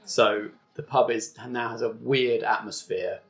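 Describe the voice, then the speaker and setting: A man's voice: medium pitch, steady tone, unhurried, vocal fry, fairly bright tone. Game master, man, easy descriptive narrating, mid voice.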